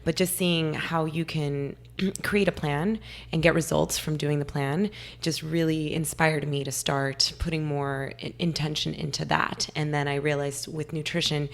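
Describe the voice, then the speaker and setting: Young woman from california, low flat gravelly voice, bit hoarse, chatty and eager. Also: a little slow. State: a little hoarse. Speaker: guest nutritionist. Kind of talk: casual interview talk.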